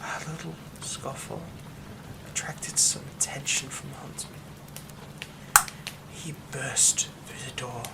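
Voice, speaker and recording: A haunted young man hiding a werewolf curse, played by a man. He talks slowly in a hushed, halting way, often slipping into whispers. A steady hiss sits under the recording. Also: mid-range voice, creaky. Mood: nervous, haunted. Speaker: ASMR roleplay performer. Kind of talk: ASMR horror roleplay.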